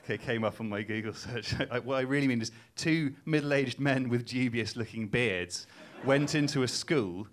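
A man's voice: medium pitch, normal speed, few pauses. A young man from Newcastle with a Geordie accent, performing a stand-up comedy set with a casual, self-mocking, hesitant delivery full of ums. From England. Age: young adult. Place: live stage before laughing audience.

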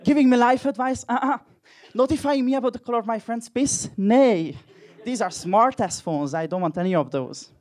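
A man's voice: very high voice, moderate pace, hardly any pauses, a little mellow. A young man with a Hungarian accent doing a dry, self-mocking stand-up comedy set with crude jokes. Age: young adult.

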